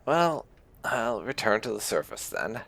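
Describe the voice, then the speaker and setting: A man hosting a video game roleplay in a low, creaky voice. He talks very slowly and haltingly in a casual, dry way, with his pitch moving up and down a great deal. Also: big pitch swings, fairly bright tone. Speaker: gaming channel host. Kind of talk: casual roleplay let's play commentary.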